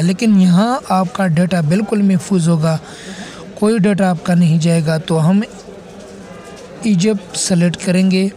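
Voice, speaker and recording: High-pitched man giving a mellow tech tutorial. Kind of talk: casual spoken step-by-step phone repair tutorial.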